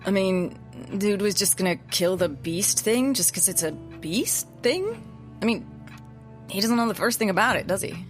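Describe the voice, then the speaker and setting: A woman's voice: mid-range voice, expressive, normal speed, soft background music, lightly acted. A woman in an audio drama playing a skeptical, wry listener with a casual, questioning way of talking. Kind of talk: naturalistic audio drama dialogue.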